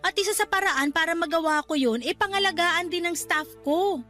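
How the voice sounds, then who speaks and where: High, bright Filipina manager. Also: female voice, moderate pace, soft background music. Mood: apologetic, pleading. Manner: emotional, pleading and reproachful. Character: earnest young woman resort manager, wronged but conciliatory. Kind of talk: Filipino radio drama acting.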